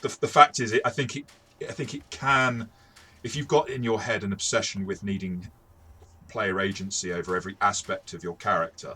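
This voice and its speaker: A man with a low, bright, forward voice chats as co-host of a game review. He explains his experience as a game master in a measured, conversational manner. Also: steady tone, husky. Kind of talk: casual discussion and review.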